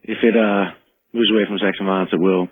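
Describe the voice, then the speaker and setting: A man's voice: on the low side, fairly even intonation, fast talker, husky, no echo. A man calling in to a radio show, chatting casually in informal slang. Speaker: phone-in caller, musician. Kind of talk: casual chat. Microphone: telephone. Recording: narrow tinny phone line.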